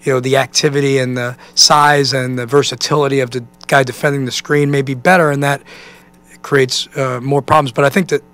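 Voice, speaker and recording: An American man in his forties, a basketball coach answering press questions in a halting, conversational way, often saying "you know.". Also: mid-range voice, fairly bright tone. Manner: halting, thinking aloud, peppered with 'you know'. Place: indoor press conference room. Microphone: microphone on a stand at a table.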